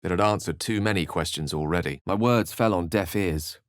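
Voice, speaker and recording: A man's voice: deep voice, moderate pace, no room sound, clean studio sound, close to an everyday voice. A man voicing a learned, eloquent wizard adventurer in a video game, with an English accent and a theatrical, articulate delivery. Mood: grave, storytelling. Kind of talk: dramatic scripted game dialogue and narration.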